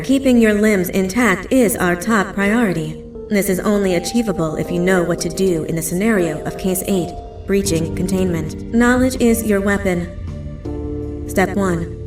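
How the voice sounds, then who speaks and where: A woman playing a composed facility announcer, narrating an employee safety video in a horror game with measured, formal delivery. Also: mid-range voice, natural voice. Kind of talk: scripted corporate training narration in a horror game. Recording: echoey, distant, music underneath. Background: music.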